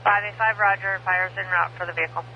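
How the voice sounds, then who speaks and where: A man's voice: high-pitched, steady tone, quick pace, lo-fi sound. A man working as an emergency dispatcher over two-way radio, reading back codes and numbers in clipped, procedural phrases. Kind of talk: police and fire radio dispatch. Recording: noisy police scanner radio, humming.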